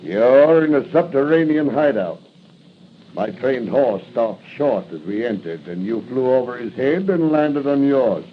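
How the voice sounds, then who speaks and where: An actor playing a sinister, gloating masked killer in a theatrical 1940s radio drama style. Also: male voice, mid-range voice, animated, unhurried, close to an everyday voice. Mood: gloating menace. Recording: dull, noisy 1940 radio recording.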